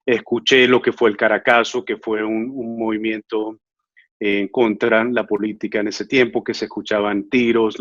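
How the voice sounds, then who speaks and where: A man from Venezuela in his thirties, an immigration lawyer answering interview questions in an earnest, measured way, with frequent filler sounds. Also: lowish voice, fairly bright tone, no room sound, lo-fi sound.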